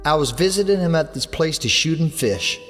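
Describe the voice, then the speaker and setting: A man's voice: fairly high pitch, expressive, fairly quick, a bit bright, in a large hall, faint music behind, voice pushed up. An English man playing a young male writer giving touchy, clipped answers at an inquest. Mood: plain. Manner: hot, clipped replies.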